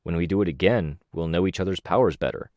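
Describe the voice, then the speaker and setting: A man's voice: sits low, fast talker, mellow, no room sound, close to an everyday voice. A man as a laid-back young man. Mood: reasoning.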